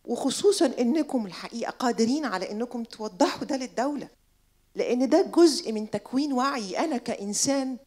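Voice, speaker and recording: A woman's voice: a little high, darkish tone. A woman in her forties with an Egyptian accent asks a long, insistent, rhetorical question from the audience of a lecture hall. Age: 40s. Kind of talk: impassioned public question.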